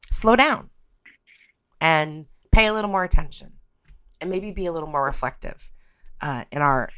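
Woman, low voice, podcast host, topical talk. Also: fairly bright tone, no room sound.

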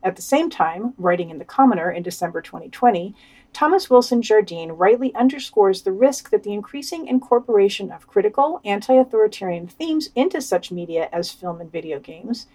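A woman with a medium-pitched voice reads article summaries and long quotations as a podcast presenter. Her delivery is scripted, with wry commentary mixed in. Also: a little mellow. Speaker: podcast news reader. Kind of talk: reading written summaries and quotes aloud with commentary.

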